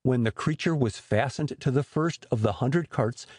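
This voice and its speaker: A man with a husky voice narrating a scripted story as an expressive audiobook storyteller. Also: mid-range voice, moderate pace, no room sound, studio quality.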